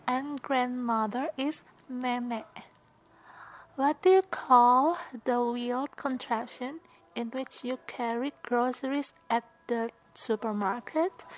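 A woman with an Indonesian accent doing a casual vlog, reading questions aloud, soft-spoken with whispered asides and giggles. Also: sits high, rough recording. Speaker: vlogger.

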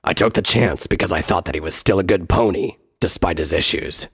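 A narrator voicing a stern, menacing male warrior pony captain with a growling, husky voice and a grave, commanding manner. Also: deep voice, brisk, no echo, clean studio sound, voice pushed down, full character voice. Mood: grim resolve.

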